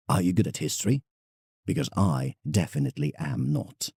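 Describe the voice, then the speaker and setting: A man plays an elderly eccentric painter in an audio drama, reading his letter aloud. The voice is very low and heavily creaky, swinging widely in pitch as he muses theatrically. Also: dark tone, no room sound, studio quality, full character voice. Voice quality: gravelly, husky. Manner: theatrical, musing, conversational asides. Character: elderly eccentric English painter writing a fond, indignant letter.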